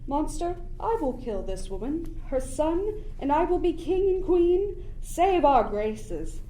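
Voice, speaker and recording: A student actress playing a bossy, blustering would-be ruler in a Shakespearean radio play. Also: female voice, high voice, sing-song, takes it slowly, mellow, heavily acted. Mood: swaggering. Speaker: student actor. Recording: roomy echo with music behind.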